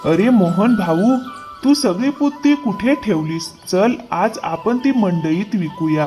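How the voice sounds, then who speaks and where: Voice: mid-range voice, animated, slow, warm tone, soft background music. An actor voicing an honest, hardworking farmer man in a moral-story cartoon. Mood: friendly.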